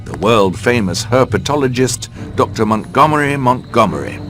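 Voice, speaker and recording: An actor playing a wry, gloomy storyteller, narrating a video game like a storybook with a dry manner. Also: male voice, mid-range voice, expressive, normal speed, over music, lightly acted.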